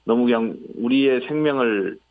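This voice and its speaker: A man's voice: medium pitch, moderate pace. A man giving a spontaneous, polite account as an interviewee over the phone, with somewhat hesitant phrasing. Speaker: interviewee, airline passenger. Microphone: phone call. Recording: muffled, band-limited phone connection.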